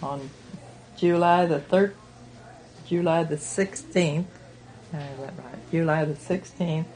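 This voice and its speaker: A woman's voice: deep voice, slow pace, mellow, slight background noise. A woman in her eighties from rural America, reminiscing as an interviewee in a group. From rural United States. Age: 80s.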